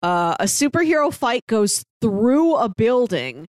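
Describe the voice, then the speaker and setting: A woman's voice: a little high, big pitch swings, unhurried, no room sound. Woman podcast co-host, close dry sound. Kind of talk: casual film discussion chat.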